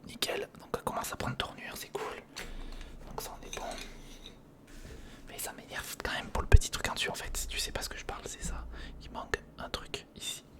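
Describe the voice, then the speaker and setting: An ASMR creator whispering informal, step-by-step commentary to the viewer while building something by hand, close to the microphone. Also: brisk. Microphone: close recorder or studio microphone.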